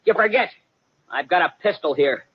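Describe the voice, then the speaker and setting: An actor playing a naive, melodramatic young private eye, earnest and stammering, with a husky voice, in 1950s radio comedy-mystery style. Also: male voice, high-pitched, lively intonation, moderate pace, dry recording. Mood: blustering, nervous. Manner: earnest, self-dramatizing, stammering. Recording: dull, muffled 1950 radio broadcast.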